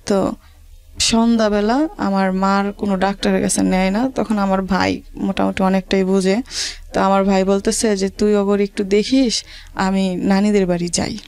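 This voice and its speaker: Creaky-voiced Bangladeshi village woman. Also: medium pitch, flat delivery, a little mellow, dry recording. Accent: rural Bangladeshi. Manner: halting, repetitive, colloquial dialect. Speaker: guest telling own life story. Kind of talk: personal storytelling in an interview.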